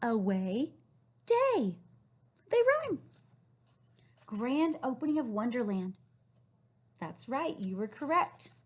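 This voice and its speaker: A woman reading a picture book aloud to young children, encouraging and questioning them in an animated way with a husky, breathy voice. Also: a little high, slow, pauses often. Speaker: read-aloud storyteller teaching young children.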